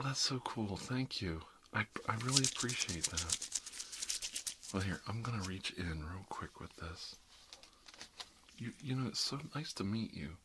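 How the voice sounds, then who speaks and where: Low-pitched man in rambling ASMR chat. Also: very noisy. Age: middle-aged. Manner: rambling, conversational, jokey, frequent "you know". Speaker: ASMR performer playing an emergency dentist. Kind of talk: ASMR roleplay, rambling one-sided casual chat.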